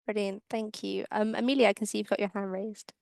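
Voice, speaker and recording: A woman's voice: medium pitch, flat delivery, fairly quick, gravelly, a little mellow, dry recording, clean studio sound. Polite woman moderating a panel. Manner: polite, encouraging. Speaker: webinar moderator.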